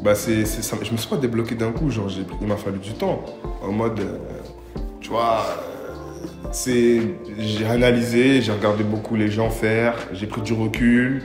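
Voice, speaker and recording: A man's voice: on the low side, fairly even intonation, normal speed. Young Parisian guy interviewed, hesitant slangy delivery, roomy echo, music bed underneath. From Paris, France. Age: 20s. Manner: hesitant, slangy, many fillers. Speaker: interviewee, video creator. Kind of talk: casual interview answers. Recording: echoey room with music behind.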